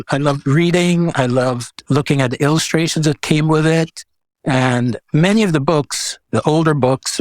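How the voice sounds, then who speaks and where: A man's voice: mid-range voice, no room sound. A man, speaking reflectively and casually as an artist being interviewed. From Guyana. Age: 70s. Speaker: guest, visual artist.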